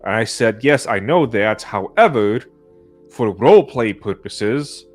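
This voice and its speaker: A man's voice: lowish voice, expressive, measured pace. A man chatting casually about roleplaying games, correcting himself as he feels his way through a thought. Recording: faint music in the background. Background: faint music.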